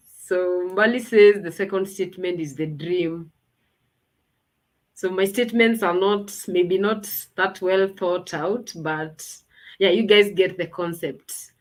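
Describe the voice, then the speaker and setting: A woman's voice: mid-range voice, rough recording. A woman hosting a casual online launch party over a video call, chatty and thinking aloud as she talks. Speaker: host.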